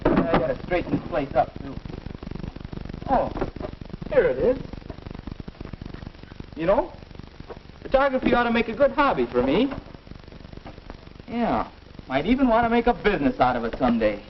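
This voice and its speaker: A man's voice: high voice, big pitch swings, normal speed, pauses often. A young man playing a puzzled, earnest American teenage boy who muses aloud in a 1950 instructional film, on a dull, noisy old soundtrack. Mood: musing, brightening. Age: teenager or young adult. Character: restless, puzzled teenage boy looking for a hobby.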